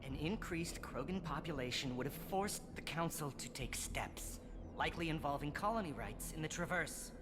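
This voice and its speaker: A man's voice: a little high, animated, moderate pace, background noise, lightly acted. A man voicing a bitter, zealous alien scientist in a video game, articulate and accusatory, a disillusioned former student. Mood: impassioned. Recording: game dialogue over background music.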